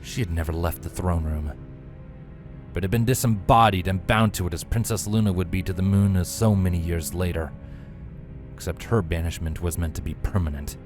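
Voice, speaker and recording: A man narrates a fantasy story in a deep voice. His delivery is measured and solemn, like scripted dramatic fiction reading. Light music runs behind him. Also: darkish tone. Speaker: narrator, fan fiction reader.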